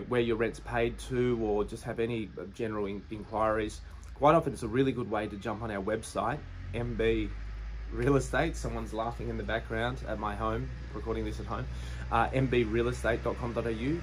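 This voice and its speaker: A man's voice: mid-range voice, slight background noise. A man in his forties with an Australian accent, giving a plain, reassuring update to camera from outside his house, talking loosely with fillers and restarts. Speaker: real estate agency owner. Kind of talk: direct-to-camera business update. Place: outdoors beside a brick house. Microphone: phone or camera at close range.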